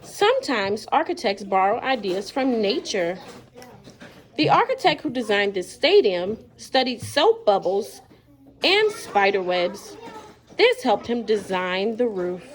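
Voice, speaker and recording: A woman's voice: medium pitch, very animated, a little slow. A woman teacher reading a picture book aloud to children, asking questions and encouragingly repeating their answers.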